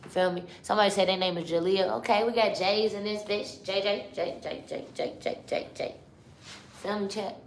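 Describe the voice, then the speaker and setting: A woman's voice: mid-range voice, fairly even intonation, a little fast, reverberant, slight background noise. A young woman from Chicago in her twenties, hosting a live stream in loose, slangy casual chat that keeps turning to the chat. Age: 20s. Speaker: livestream host.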